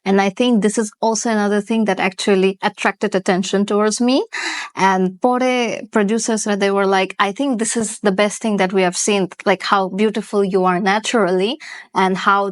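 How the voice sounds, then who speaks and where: A woman's voice: mid-range voice, fairly even intonation, fast, clear, resonant tone, no echo. A young woman from Russia, a dancer guest, chatting casually and slipping Bangla phrases into her English. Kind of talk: casual interview.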